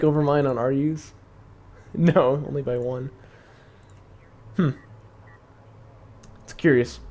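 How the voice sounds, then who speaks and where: A young man streaming and explaining strategy in a casual, chatty way, thinking aloud with fillers and laughs; his voice is slightly raspy from long talking. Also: medium pitch, mellow. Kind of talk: casual live-stream commentary.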